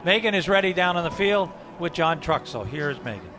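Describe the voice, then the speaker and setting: A man's voice: on the high side, expressive, brisk, music in the background. Man reading game stats in the booth. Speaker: color commentator. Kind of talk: broadcast stats commentary.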